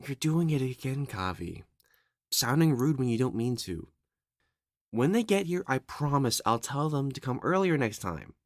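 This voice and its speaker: A woman voicing a bubbly, friendly, excitable young creature with an exuberant, chatty manner, in an ASMR audio roleplay. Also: a bass voice, moderate pace, dry recording, studio quality, full character voice.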